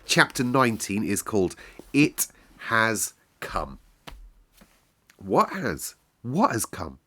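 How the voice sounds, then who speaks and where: A man's voice: on the low side, big pitch swings, takes it slowly, sharp tone. A man hosting story time in casual, playful chat, a little breathless with a chesty cold. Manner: chatty, self-deprecating, playful repetition. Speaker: storyteller and channel host.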